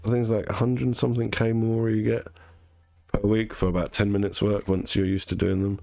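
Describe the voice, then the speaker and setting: A young man with a notably low, nasal voice chats loosely to his viewers while gaming. He rambles, restarts his sentences and corrects himself as he goes. The sound is dry, with no room to it. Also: level tone, brisk, creaky, dark tone, no room sound. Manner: rambling, self-correcting, chatty. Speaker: game streamer. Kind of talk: casual chat while gaming.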